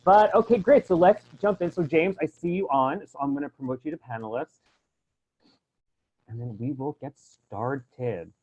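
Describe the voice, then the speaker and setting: A man's voice: a little high, normal speed, a little mellow. A man casually hosting a webinar in an informal style, heard through dull, lo-fi video call audio. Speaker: webinar host.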